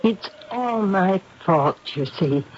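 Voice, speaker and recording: A woman's voice: mid-range voice, expressive, takes it slowly. Troubled manor lady, theatrical old radio drama, dull recording with faint noise. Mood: remorseful, wistful. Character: remorseful titled lady of a haunted manor. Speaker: actress in radio mystery.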